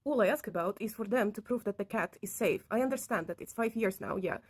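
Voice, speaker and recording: A woman's voice: medium pitch, fast, breathy edge. Woman telling her personal story. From Latvia. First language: not English. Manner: earnest, non-native phrasing. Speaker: vlogger telling own story. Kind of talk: personal account to camera, conversational.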